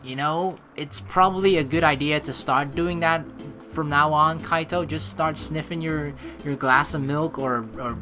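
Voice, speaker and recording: A chatty, sweary woman doing casual, expressive let's play commentary and reading narration aloud. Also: low voice, measured pace, few pauses, over music. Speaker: let's player.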